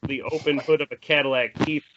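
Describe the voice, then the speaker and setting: A man's voice: on the high side, steady tone, fast, fairly bright tone, highs cut off, a little hiss or hum. A man reading a screenplay's stage directions as dramatic, descriptive scripted narration.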